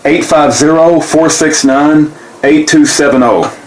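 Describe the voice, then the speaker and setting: A man's voice: a little high, unhurried, very noisy. A man speaking in an earnest, polite and pleading way, like someone leaving an appeal by phone. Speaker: activist making and sharing a phone appeal.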